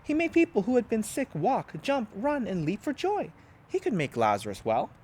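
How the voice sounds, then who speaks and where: Pastor, storytime reader, expressive and lively, slightly high voice. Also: male voice, moderate pace, a little hiss or hum. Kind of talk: children's storybook read-aloud.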